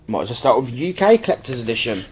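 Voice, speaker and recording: A man's voice: medium pitch, big pitch swings, fast talker. Congested man, casual video commentary. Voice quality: slightly congested, bunged up. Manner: informal, apologetic, rambling. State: stuffy with a blocked nose. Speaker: YouTube collector presenting his own videos. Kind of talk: casual unboxing-style product commentary.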